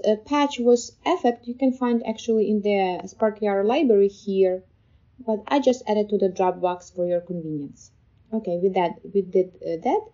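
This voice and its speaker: A woman hosting a casual step-by-step software tutorial, explaining informally and a little hesitantly. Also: mid-range voice. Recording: slightly dull, lo-fi voice-over.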